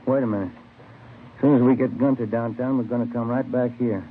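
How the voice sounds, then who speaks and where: Male actor as American police detective, easygoing, matter-of-fact, middle pitch, vintage radio drama, muffled narrow sound. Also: steady tone, a little fast, close to an everyday voice. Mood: casual. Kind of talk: 1950s radio acting. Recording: noisy, muffled old radio broadcast.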